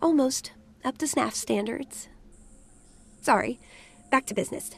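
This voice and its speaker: A woman's voice: high voice, measured pace, gravelly, breathy edge, full character voice. A woman voicing a brash, cocky little gnomish genius inventor in a video game, flippant and condescending. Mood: brisk, flippant. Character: brash, cocky female asura genius golem expert. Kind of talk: video game cutscene voice acting.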